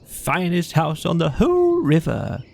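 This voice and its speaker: A man voicing a boisterous, boastful, excitable gentleman toad in a children's audiobook, with pompous, exuberant exclamations. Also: fairly high pitch, a little slow, vocal fry, slightly rough, pitched above the performer's own voice, heavily acted.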